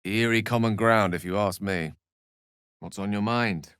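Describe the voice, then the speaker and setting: A man's voice: lowish voice, a little slow, fairly bright tone, clean tone, no room sound, studio quality. An actor playing an erudite, witty and self-assured wizard in a video game, eloquent and theatrical in his wordy delivery. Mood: curious, wry. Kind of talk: video game character acting.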